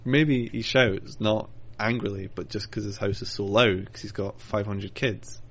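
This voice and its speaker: A man's voice: fairly even intonation, a little slow, a bit bright. A young man co-hosting a podcast, teasing in casual banter and laughing often. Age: young adult. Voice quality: deep gravelly voice. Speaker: podcast co-host. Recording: lo-fi podcast recording.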